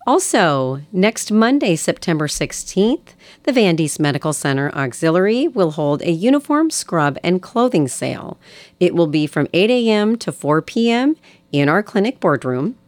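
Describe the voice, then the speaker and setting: An American woman with a slightly low voice hosts a community radio show, recorded close and dry. She delivers announcements in an upbeat, welcoming way, like a practiced local presenter. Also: dry recording. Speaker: radio host. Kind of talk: hospital radio program announcements and interview.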